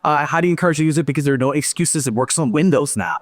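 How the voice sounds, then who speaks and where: A man in his thirties giving a lively, joking tech conference talk from a large hall stage. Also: fairly high pitch, very fast. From Pakistan and Switzerland. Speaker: conference speaker. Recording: hall reverb.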